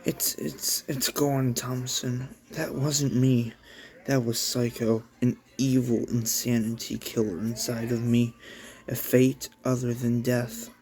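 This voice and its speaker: A man's voice: mid-range voice, little pitch movement, slow, a little mellow, poor audio. A man voicing a teenage girl possessed by a killer alter ego, swinging between frantic screams and icy whispers. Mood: eerie calm.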